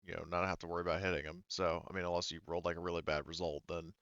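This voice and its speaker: Deep-voiced man running a tabletop game. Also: flat delivery, rapid-fire, fairly bright tone, no room sound, highs cut off. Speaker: game master. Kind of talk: running a tabletop roleplaying game, casual rulings.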